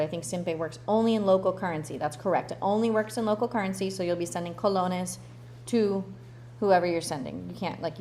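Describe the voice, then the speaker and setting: A woman runs a casual live Q&A, reading viewers' comments and answering them off the cuff. Her voice sits on the lower side and her talk is chatty and loose, full of fillers and restarts. A faint hum sits under the stream. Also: a little mellow. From born in Russia, grew up in the United States. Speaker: relocation consultant hosting a livestream Q&A.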